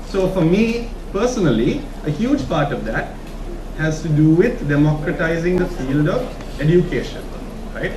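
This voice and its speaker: A man's voice: on the high side, expressive, unhurried. A man with a Sri Lankan accent giving an informal conference talk, often checking in with his listeners with short questions. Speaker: conference speaker. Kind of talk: informal presentation to an audience. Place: conference room, talk to a live audience. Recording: echoey room from far off, noisy.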